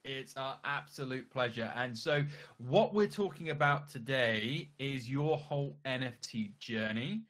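A man's voice: mid-range voice, measured pace, fairly bright tone. Polite, upbeat male podcast host. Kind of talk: podcast interview hosting.

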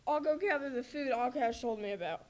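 A woman's voice: high voice, brisk, darkish tone, a little hiss or hum, close to an everyday voice. A woman playing an earnest young male warrior from a strange clan in an amateur radio drama. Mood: friendly.

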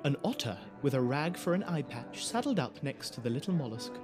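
A man's voice: medium pitch, very animated, brisk, over music. A man giving scripted storytelling narration for an audio drama.

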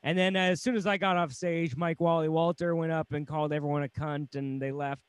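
A man's voice: a little high, fairly even intonation, fairly quick, smooth, dry recording. A man with an American accent, a stand-up comedian telling wry, self-deprecating stories in casual conversation.

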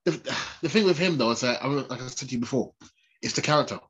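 A man's voice: medium pitch, animated, quick pace, a bit bright, a little hiss or hum, low-quality recording. A man co-hosting a casual podcast while snotty with a cold, chatty and laughing often. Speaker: podcast co-host.

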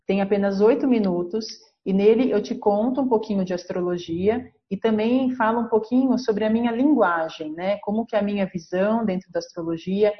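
A Brazilian woman hosts a podcast as an astrologer, laying out her subject step by step like a patient lesson. Her voice sits at a middle pitch. The recording sounds a little dull and lo-fi. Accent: Brazilian Portuguese. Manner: didactic, explains step by step.

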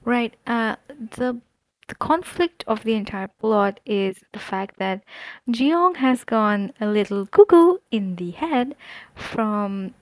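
A woman's voice: medium pitch, darkish tone, no echo, poor audio. A woman hosting a casual solo podcast, chatting animatedly about a book with frequent fillers and asides. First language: not Korean. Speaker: podcast host.

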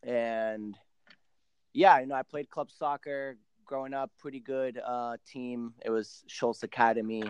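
A man in his late twenties, originally from Argentina with Spanish as his first language, talks casually as a podcast guest. His voice is smooth and clear, at an average pitch, and the sound is close and dry. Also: steady tone, measured pace, dry recording. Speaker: professional soccer player, podcast guest. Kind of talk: casual interview storytelling.

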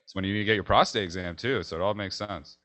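A young man co-hosting a podcast, telling crude stories in an animated way and laughing often. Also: on the low side, fast talker, no echo. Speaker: podcast co-host. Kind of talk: casual comedic chat.

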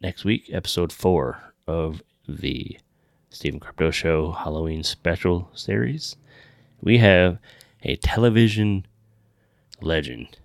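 A man's voice: deep voice, takes it slowly, vocal fry, dry recording, clean studio sound. A man hosting a podcast, chatting casually and making show announcements. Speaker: podcast host.

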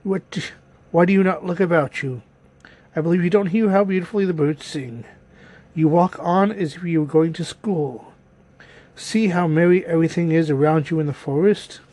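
A man's voice: on the high side, normal speed, a little mellow, close to an everyday voice. A man voicing a crafty, malicious wolf in a storybook tale, with a sly, coaxing manner.

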